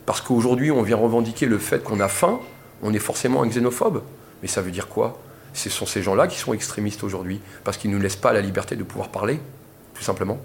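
Man in his thirties, low voice, impassioned protester at a press conference, insistent, repeats himself. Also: fairly quick, vocal fry, a little hiss or hum. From Portugal. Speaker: protester giving a press interview.

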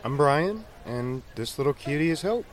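A man's voice: fairly high pitch, expressive, normal speed, natural voice. A man playing an easygoing, earnest survivor caring for a baby in an audio drama.

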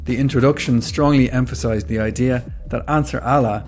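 A man hosting a podcast with scripted narration, measured and formally worded. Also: medium pitch, steady tone, a little mellow, soft background music. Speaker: podcast host.

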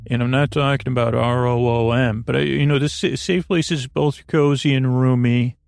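A man's voice: mid-range voice, fairly even intonation, normal speed, a little mellow, no room sound, clean studio sound. A man hosting a sleep podcast, talking in a meandering, earnest way that keeps correcting itself. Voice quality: creaky. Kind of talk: rambling bedtime podcast talk.

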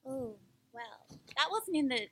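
A woman's voice: sits high, expressive, a little slow, very noisy. A woman, a bubbly, giggly guest on a casual podcast. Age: 30s.

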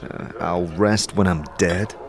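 Man acting a low-voiced, hesitant adventurer hero. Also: fairly even intonation, slow pace. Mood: earnest, uncertain. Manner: hesitant, modest, plain-spoken. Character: hesitant, well-meaning male adventurer hero. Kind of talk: fantasy audio drama acting.

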